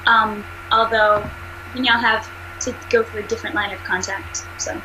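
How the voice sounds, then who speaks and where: A woman voice actress and singer with a nasal voice, talking fast and animatedly in a casual interview over a video call. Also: medium pitch, background noise. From United States. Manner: fast, animated, laughs often.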